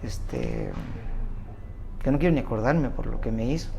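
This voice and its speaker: A man's voice: mid-range voice, wildly swooping pitch, moderate pace, gravelly, darkish tone, a little hiss or hum. An older man with a Mexican accent, a doctor telling his life story as an interview guest, rambling and correcting himself as he goes. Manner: rambling, self-interrupting recollection. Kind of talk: conversational storytelling.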